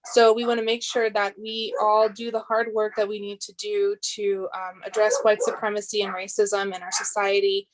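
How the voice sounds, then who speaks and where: A woman's voice: mid-range voice, level tone, normal speed, hardly any pauses, noisy background. A woman serving as a school board member, giving earnest remarks at a public meeting.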